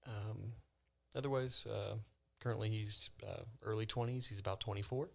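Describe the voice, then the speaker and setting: A man who is new to tabletop games, talking casually and a bit haltingly as a player. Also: lowish voice, fairly even intonation, gravelly. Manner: hesitant, halting. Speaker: novice player on a tabletop game podcast. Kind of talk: casual self and character introduction.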